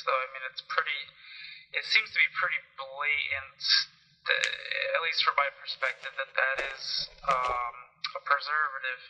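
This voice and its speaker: A man calling in a complaint in an unscripted, measured and politely persistent way, on a recorded phone line. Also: medium pitch, bright, forceful tone. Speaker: caller, consumer health advocate. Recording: muffled recorded phone call.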